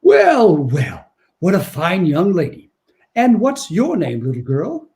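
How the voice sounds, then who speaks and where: A man's voice: high-pitched, huge pitch swings, slow pace, gravelly, a little mellow, exaggerated voice. A man playing a kindly, jolly old Santa Claus with a genial, courtly manner in a 1940s-style radio play.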